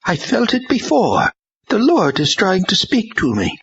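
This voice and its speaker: Fervent stubborn old church woman, a male narrator pitching way up, husky, dull lo-fi. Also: huge pitch swings, measured pace, exaggerated voice. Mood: urgent. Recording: dull lo-fi recording.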